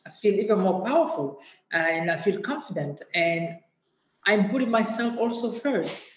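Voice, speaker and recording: An interview guest speaking candidly and reflectively, often pausing to search for words. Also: medium pitch, animated, echoey room, slight background noise, low-quality recording.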